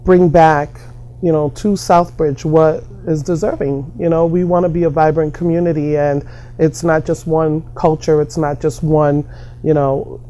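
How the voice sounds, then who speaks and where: A middle-aged American woman answering informally in an interview, halting and often repeating her phrases. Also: sits low, mellow. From New York and Massachusetts, United States. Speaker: interviewee, community worker.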